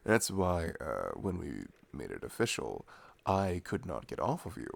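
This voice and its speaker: A man playing an affectionate, playful boyfriend in an ASMR roleplay, talking softly and intimately. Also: deep voice, huge pitch swings, gravelly, heavily acted. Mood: tender, content. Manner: soft, unhurried, intimate, chuckling. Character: affectionate, playful, sleepy-morning boyfriend, cuddly and sweet.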